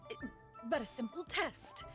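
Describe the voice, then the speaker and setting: A woman's voice: moderate pace, lightly acted. A woman voicing a proud, formal immortal mentor in a video game, speaking in lofty, archaic phrasing. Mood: flustered but dignified. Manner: lofty, archaic, refers to self as one. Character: proud, formal immortal adeptus in human form, aloof mentor. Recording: game music playing behind.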